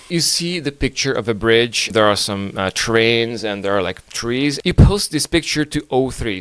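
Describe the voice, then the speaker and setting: A man whose first language is Hungarian hosts a podcast, riffing on news in a loose, joking way full of swearing and fillers. His voice is smooth and clear at an average pitch. It sits close and dry, with no room sound. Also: fairly bright tone, no echo. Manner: rambling, profane, joking, many fillers. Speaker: podcast host. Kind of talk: casual news commentary.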